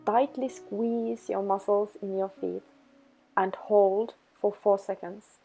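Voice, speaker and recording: A woman leading a guided meditation, giving instructions in slow, measured phrases. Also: medium pitch, flat delivery, creaky, warm tone. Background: background music.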